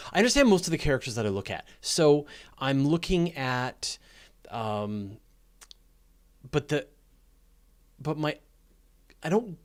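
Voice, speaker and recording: A man hosting a live question-and-answer stream in casual, unscripted speech, haltingly restarting his phrases as he rambles along. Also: a little high. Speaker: host of a live astronomy Q&A stream. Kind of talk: casual, unscripted livestream chat.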